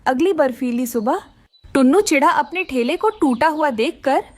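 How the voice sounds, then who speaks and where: Female narrator for a kids' cartoon fable, high lilting read over faint noise. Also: animated, moderate pace. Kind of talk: scripted children's story narration.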